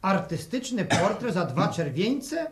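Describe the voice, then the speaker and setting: A man's voice: high voice, very animated, slow pace, heavily acted. An actor playing a pushy travelling portrait salesman in a 1960s radio serial, talking in slick patter padded with filler tags. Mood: boastful, indignant. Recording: old 1960 radio recording.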